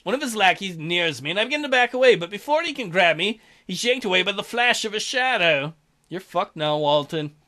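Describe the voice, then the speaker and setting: High, lively man reading a game aloud. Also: fast talker, hardly any pauses. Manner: crude, mocking asides. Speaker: let's play host. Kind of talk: reading a visual novel aloud with jokey commentary.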